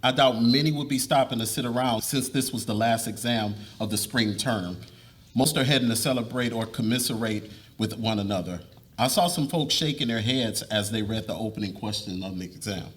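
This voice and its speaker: A man's voice: fairly low pitch, brisk, close to an everyday voice. A man reading the part of an earnest, polite young college student in a dramatic reading of a novel. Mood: friendly, forward.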